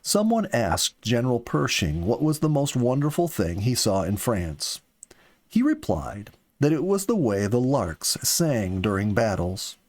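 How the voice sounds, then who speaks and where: A man reading the part of an earnest, philosophical young soldier in an audiobook, with slow, deliberate phrasing. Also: medium pitch, big pitch swings, darkish tone. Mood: earnest, hopeful. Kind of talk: audiobook character dialogue.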